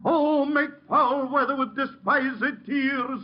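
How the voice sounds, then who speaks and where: A man's voice: very high voice, steady tone, takes it slowly. An actor playing a bitter, down-and-out theatrical man in an old radio drama, mournful and florid in his delivery. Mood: anguished, declaiming. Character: broken, bitter, grandiloquent theatrical man fallen on hard times. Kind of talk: 1940s-50s radio drama acting. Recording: dull, faintly noisy old radio recording.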